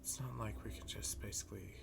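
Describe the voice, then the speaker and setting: A man playing a weary, jealous math teacher and best friend in a roleplay monologue, halting and muttering with a breathy voice. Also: fairly low pitch, fairly even intonation, very noisy. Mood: hurt, upset. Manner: halting, muttering, frequent sighs. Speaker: audio roleplay performer. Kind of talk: slow roleplay monologue to the listener.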